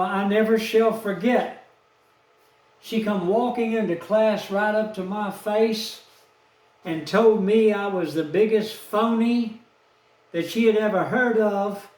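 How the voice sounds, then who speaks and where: A man, telling a rambling personal story in a slow, aggrieved, folksy way. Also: high voice, darkish tone, recorded from a distance. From Texas, USA. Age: 70s. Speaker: vlogger. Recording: echoey room, off-mic.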